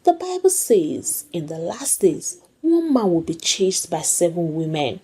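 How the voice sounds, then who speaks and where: A woman storyteller puts on a young pregnant mistress for a folktale. She is brazen and utterly sure of herself. Her pitch moves in lively swoops over a husky tone. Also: unhurried, heavily acted. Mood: smug, confident. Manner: brazen, oozing confidence.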